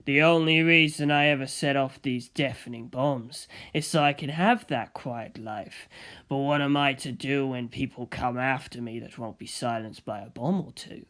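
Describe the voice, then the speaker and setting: A fastidious murderer acted by a male narrator murmurs low and soft, almost under his breath. He sounds breathy, fixated and quietly unhinged, as a character in a dramatized audiobook. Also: fairly even intonation, lightly acted. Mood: cold, controlled. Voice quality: hushed, breathy. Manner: low, muttering, obsessive.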